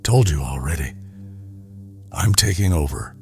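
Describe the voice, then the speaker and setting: Very deep, gravelly, menacing raider boss played by a man. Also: lively intonation, a little mellow, faint music behind, full character voice. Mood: smug menace. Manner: slow, taunting drawl. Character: menacing smug male raider boss commandeering a vehicle. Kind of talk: audio comic dub acting.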